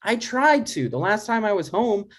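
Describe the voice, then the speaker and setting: A man acts out an anxious adult son who wants approval, with a very animated, swinging pitch. He sounds earnest and exasperated, halting as he pleads, in an informal comedy read. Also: heavily acted. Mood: anxious, hurt. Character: anxious, needy adult son seeking his dad's approval. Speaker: writer playing himself and reading stage directions.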